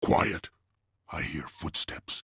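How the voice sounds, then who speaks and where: A man playing a brooding, resolute warrior heir of an enslaved clan in a video game, speaking gravely and deliberately. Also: sharp tone, dry recording, clean studio sound. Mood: guarded, stern. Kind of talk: dramatic video game cutscene acting.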